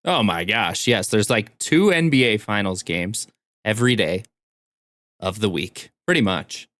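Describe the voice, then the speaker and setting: A man's voice: lowish voice, expressive, a little slow, fairly bright tone, no room sound. A man co-hosting a podcast in casual conversation, recorded close on the microphone. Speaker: podcast co-host. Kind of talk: casual chat.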